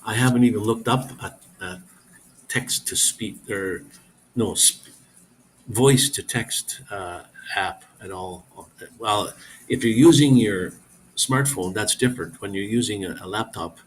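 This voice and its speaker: A man's voice: fairly low pitch, a little slow, a little hiss or hum, low-quality recording. A middle-aged man hosting a casual live stream, rambling as he reads viewer comments aloud and answers them.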